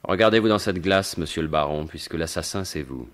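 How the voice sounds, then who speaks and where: Suave, mocking gentleman thief, dramatically animated. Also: rumbling low, a little fast. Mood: mocking, assured. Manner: theatrical, sardonic, teasing, assured. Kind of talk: French radio mystery drama acting in 1950s manner.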